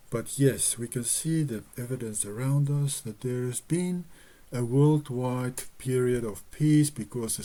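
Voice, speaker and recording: Slow, mellow man with Afrikaans roots. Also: medium pitch. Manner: earnest, measured, with hesitations and restarts. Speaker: religious video host. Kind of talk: slow personal teaching monologue.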